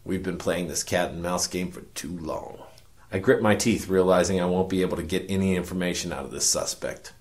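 An actor playing a cynical, world-weary detective, narrating a noir parody radio drama in a wry, self-important deadpan. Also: male voice, sits low, brisk, close to an everyday voice. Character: cynical world-weary hard-boiled male detective narrating his case.

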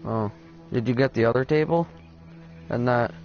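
A deep-voiced teenage boy chatting casually with a friend while playing a game, laid-back and swearing a lot. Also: normal speed, lo-fi sound, faint music behind. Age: teen. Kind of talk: casual gaming chat.

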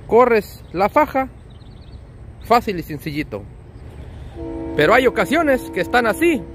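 A man with a Mexican accent and a notably high, lively voice talks casually in a trucker's vlog. His delivery is friendly and full of slang and diminutives, explaining things step by step over faint outdoor vehicle noise. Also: unhurried. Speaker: trucker vlogger.

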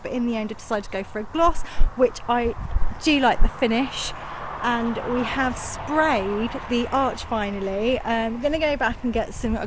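A woman in her thirties with a southern English accent, chatting casually and enthusiastically in an informal vlog, recorded outdoors on a handheld camera. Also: on the high side. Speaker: gardening vlogger. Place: outdoors on an allotment, partly in a shed. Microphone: handheld camera at arm's length. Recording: clear outdoor background noise.

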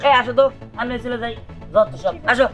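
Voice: mid-range voice, fairly quick, over music, close to an everyday voice. An actor playing a protective, defiant young man in love in a cartoon drama. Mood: annoyed.

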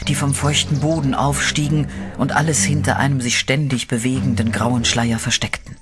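A man narrating a horror audio drama in a measured, dark storytelling manner. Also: mid-range voice. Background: music.

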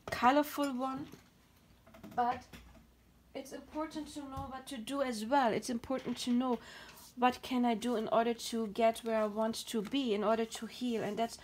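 A woman with a German accent lays out a tarot reading in a gentle, explanatory manner, her voice pitched a touch high. Her throat is dry and she sounds a bit tired, and a faint hiss sits under the recording. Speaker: tarot card reader. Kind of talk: pick-a-card tarot reading. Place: home, at a table. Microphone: camera over the table, speaker off screen.

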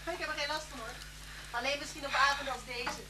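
A woman's voice: high-pitched, fairly even intonation, fast, sharp tone. An actress playing a hospitable, chatty woman in a naturalistic radio drama. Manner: chatty, solicitous. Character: hospitable, chatty woman living alone in cottage. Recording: noisy old radio drama recording.

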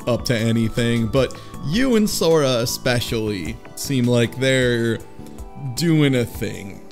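A man's voice: medium pitch, expressive, slow pace. A man co-hosting a casual chat, slangy and joking, with easy swearing. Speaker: podcast co-host. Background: faint music.

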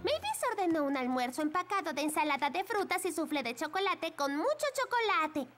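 Niña amable, agudísima. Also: female voice, very high-pitched, animated, brisk. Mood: puzzled. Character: cheerful, honest little cartoon girl, friendly. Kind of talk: animated cartoon dubbing. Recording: music behind the voice.

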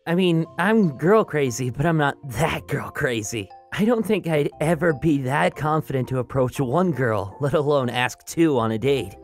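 A woman voicing a friendly, eager teenage freshman boy, chatty and upbeat, in an animated roleplay. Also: low-pitched, moderate pace, hardly any pauses, soft background music. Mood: friendly, curious.

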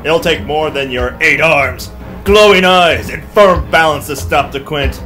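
An actor playing a brave, pompous space-adventure hero with overblown earnest bravado in a parody pulp radio serial. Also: male voice, on the high side, unhurried, bright, forceful tone, soft background music. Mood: defiant.

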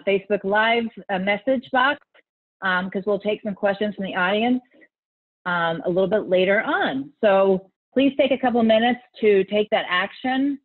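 A woman's voice: medium pitch, normal speed. American woman on a phone-like call. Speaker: advocacy event moderator. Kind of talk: explanatory call to action. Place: bright room at home, on video call. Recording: thin, phone-like video call audio.

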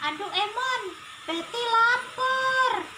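A very high, sweet little duck girl, acted out by a woman for a children's puppet tale. She is caring and timid, stretching her words in childlike pleas. There is clear noise on the recording. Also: expressive, slow pace, exaggerated voice. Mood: sweet, pleading.

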